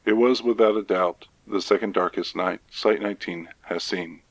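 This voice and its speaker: A man playing a stern senior scientist in an audio drama, formal, measured and deadpan. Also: on the low side, no echo, natural voice. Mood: solemn, deadpan. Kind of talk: scripted audio drama testimonial.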